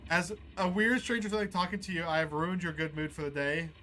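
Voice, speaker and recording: A man hosting a gaming video, reading game dialogue aloud and musing between lines in a halting, casual way. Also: fairly high pitch, fairly even intonation, pushed and bright, low-quality recording. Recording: clear background noise under the voice.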